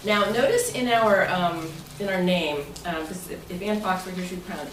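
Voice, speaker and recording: A woman's voice: fairly low pitch, moderate pace. A woman speaking as club president, giving an informal, playful presentation to a meeting and inviting answers. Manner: chatty and playful, jokes and invites audience answers. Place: meeting room, voice picked up at a distance. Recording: echoey noisy meeting room, distant mic.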